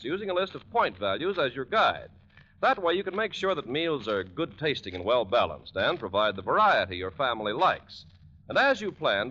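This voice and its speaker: A man's voice: a little high, pushed and bright. A man reading a scripted 1940s radio advertisement as a polished, salesmanlike announcer. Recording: muffled 1940s radio broadcast recording.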